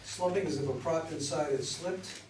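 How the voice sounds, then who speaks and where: A man's voice: on the high side, moderate pace. Man reading stage directions, roomy sound. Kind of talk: scripted narration at a play reading. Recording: roomy, distant mic, faint noise.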